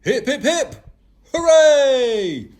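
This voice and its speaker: A man's voice: extremely high pitch, sing-song, very slow, a little mellow, pitched above the performer's own voice, heavily acted. A man voicing a pompous, grand king of Persia in a rhyming children's puppet show. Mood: gleeful. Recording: music behind the voice.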